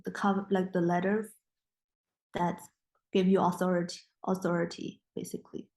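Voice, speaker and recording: A woman's voice: fairly low pitch, level tone, normal speed, darkish tone. A young woman whose first language is Mandarin, a guest in a casual interview, speaking English with frequent restarts and fillers. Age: young adult. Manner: hesitant, many restarts and fillers.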